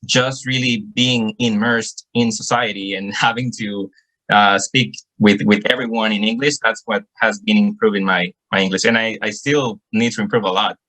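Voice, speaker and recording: A man's voice: lowish voice, fairly even intonation, normal speed, pushed and bright, low-quality recording. A young man from El Salvador with a Salvadoran Spanish accent, earnestly answering questions on a video call and often repeating words as he searches for phrasing. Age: young adult. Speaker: guest student.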